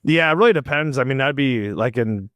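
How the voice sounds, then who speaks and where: A man's voice: medium pitch, expressive, a little fast, fairly bright tone, no room sound. A man hosting a podcast, chatting casually and informally with plenty of "like" and "you know". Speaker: podcast host. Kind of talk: casual chat.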